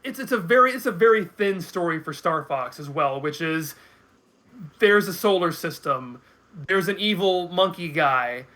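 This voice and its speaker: High, bright-voiced man chatting haltingly. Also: animated. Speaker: guest commentator. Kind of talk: casual chat.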